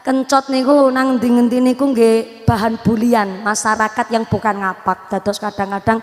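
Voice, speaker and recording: Woman preacher, Banyumas accent, bright high voice, comic sermon in an echoey hall with faint music. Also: little pitch movement, normal speed. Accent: Banyumas Javanese. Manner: joking, teasing the crowd, switching between Javanese and Indonesian. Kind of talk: lively comic Islamic sermon.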